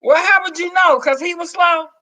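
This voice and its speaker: Brief: Southern woman live stream host, sassy and teasing, high bright voice. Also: normal speed, no room sound. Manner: sassy, teasing, calls others "girl" and "child". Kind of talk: casual banter on a live stream.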